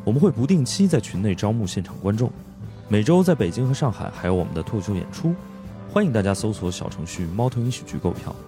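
A man's voice: fairly low pitch, sing-song, fast talker. A man hosting a chatty podcast, easygoing and affirming as he wraps up the episode. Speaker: podcast host. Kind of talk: casual chat and show outro. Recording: loud guitar music behind.

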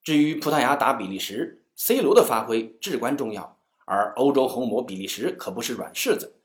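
A man's voice: medium pitch, expressive, a little fast, reverberant. A man hosting a football show, delivering a scripted match recap in a commentary style. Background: music.

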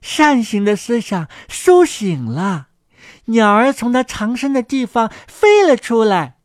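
A woman co-hosting a children's story programme, narrating a fairy tale in an expressive scripted style. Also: fairly high pitch, slow, no room sound, clean studio sound.

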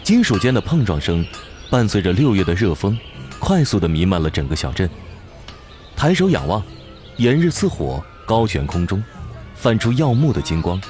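A man narrating fantasy scenes in an audio drama as a measured, literary storyteller. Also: lowish voice, very animated, a little mellow. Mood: evocative. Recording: music under voice.

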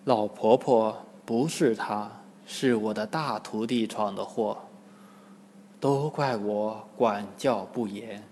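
Guy doing a solemn monk master, slow careful talk, preachy, lots of reverb. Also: a little high, very animated, a little hiss or hum. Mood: apologetic. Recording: long hall-like reverb.

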